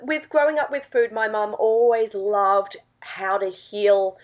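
A woman from England with a husky voice, chatting as a guest and explaining at length with many fillers. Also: medium pitch, unhurried. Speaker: guest, health science lecturer. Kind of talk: phone-in podcast interview. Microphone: phone line. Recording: dull lo-fi phone line.

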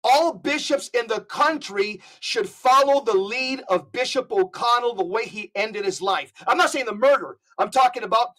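A man's voice: very high-pitched, moderate pace, few pauses, fairly bright tone. A man talking as a guest on a podcast, in a fervent, emphatic way with frequent restarts. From United States. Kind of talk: conversational podcast talk.

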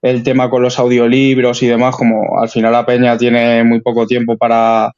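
A man's voice: medium pitch, monotone, normal speed, a little mellow, clear, resonant tone. A man with a Spanish accent from Spain, a guest chatting casually and colloquially over a lo-fi connection. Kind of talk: casual chat. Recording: dull lo-fi online connection.

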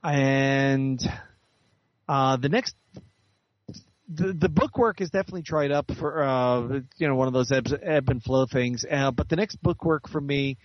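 A man's voice: mid-range voice, a little slow, muffled recording. A man being interviewed as a guest author, chatting casually and explaining things at length.

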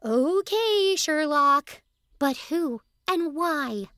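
A woman playing a curious, imaginative young girl in a family audio drama, teasing and excitable. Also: sits high, very slow, no echo, studio quality, full character voice.

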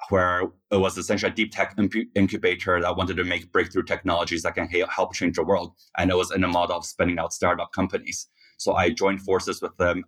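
Founder guy from taiwan, really low voice, calm and explaining stuff. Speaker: guest, startup founder. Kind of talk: interview answers.

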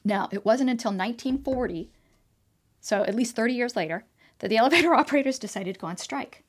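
Animated, chatty woman explaining tech. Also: on the high side, quick pace. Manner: animated, talkative explainer, frequent fillers and restarts. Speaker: tech expert guest. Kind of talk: casual interview chat. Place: trade show floor.

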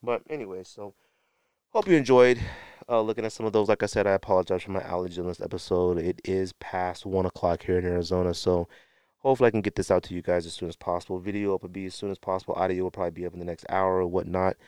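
A man's voice: sits low, steady tone, fast talker, darkish tone, clear, resonant tone, dry recording, studio quality. A man hosting a sneaker podcast, talking casually and unscripted to the camera, rambling a little and correcting himself as he shows things. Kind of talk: casual show-and-tell talk to camera.

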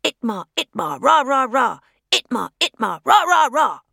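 A woman voicing an eerie male scarecrow made of sackcloth and straw, speaking slowly and mournfully and repeating old radio catchphrases. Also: high voice, very animated, creaky, sharp tone, no room sound, clean studio sound, pitched above the performer's own voice, exaggerated voice.

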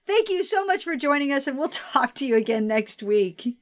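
A woman's voice: high-pitched, very animated, moderate pace. A tired woman, chatting casually and teasingly as a podcast co-host, prone to giggling. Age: 40s. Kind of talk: casual chat.

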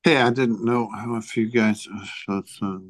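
A man's voice: on the low side, fairly even intonation, moderate pace, dry recording, low-quality recording. A man co-hosting a casual podcast with hesitant, brief, dry remarks. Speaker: podcast co-host. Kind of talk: casual chat.

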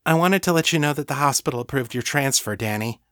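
A man voicing a helpful, easygoing woman in an audiobook, with a brisk, casual manner. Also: mid-range voice, animated, a bit bright, no echo, studio quality, pitched above the performer's own voice, lightly acted. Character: Sasha, helpful, easygoing telepath woman, friendly and teasing.

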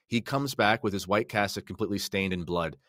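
Deep-voiced man chatting casually. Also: brisk, a bit bright, no echo, studio quality. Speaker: podcast co-host. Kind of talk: casual chat and storytelling.

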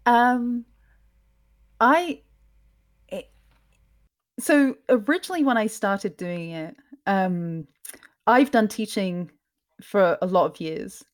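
A woman from Scotland with a mixed, mostly English accent, speaking softly in a lowered tone as a guest in a casual interview, laughing often. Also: takes it slowly, long pauses. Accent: mixed, mostly English with some Scottish. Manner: soft lowered tone, laughs often, many ums. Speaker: podcast host, guest.

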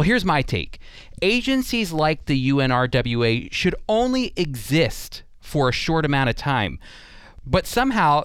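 A man's voice: mid-range voice, clear, resonant tone, no room sound. An American man hosting a radio program, explaining and commenting in an emphatic, explanatory way. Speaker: radio host and teacher.

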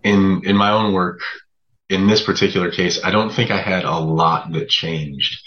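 A man with an extremely deep voice reflects casually as a guest writer. He is measured and a little hesitant, thinking aloud. The recording is somewhat dull and lo-fi. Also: fairly even intonation.

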